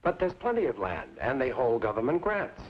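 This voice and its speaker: An actor playing an amiable, anxious older frontier newspaper publisher in an old-time western radio drama, wordy and genteel. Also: male voice, medium pitch, very animated, brisk, slight background noise, lightly acted. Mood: protesting. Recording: muffled old radio recording with music.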